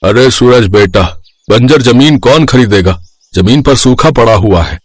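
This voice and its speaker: A man's voice: mid-range voice, expressive, measured pace. A man playing a blunt older village father-in-law in a story, with a questioning manner. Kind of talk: acted Hindi moral story dialogue.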